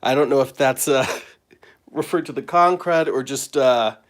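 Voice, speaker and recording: A middle-aged man, hoarse and raspy from a cold, clearing his throat as he chats casually with fillers while drawing at his table. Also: mid-range voice. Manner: rambling, friendly, halting with fillers. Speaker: comic artist and live-stream host. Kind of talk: casual live-stream chat. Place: home studio at a drawing table.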